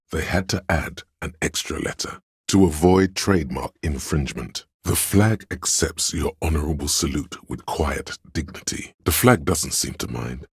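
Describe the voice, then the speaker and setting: A man voicing an encyclopedic inner voice in a video game, narrating in a measured, pedantic, smug manner with a gravelly bass. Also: no room sound, clean studio sound, exaggerated voice. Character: male inner voice of encyclopedic knowledge, erudite and smug.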